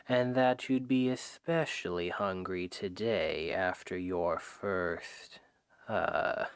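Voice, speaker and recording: A man playing a manipulative, self-justifying demon king in an ASMR roleplay, speaking in a deliberate, persuasive, condescending way. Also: low voice. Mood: weary, condescending. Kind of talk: ASMR roleplay, scripted dramatic monologue. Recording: long hall-like reverb.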